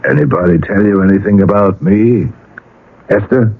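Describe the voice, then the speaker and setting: An actor playing a weary, desperate painter in a 1970s radio drama, speaking in halting, broken phrases. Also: male voice, lowish voice, close to an everyday voice. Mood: agitated, suspicious. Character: weary, desperate male painter, middle-aged or older, brooding. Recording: muffled, lo-fi old radio broadcast.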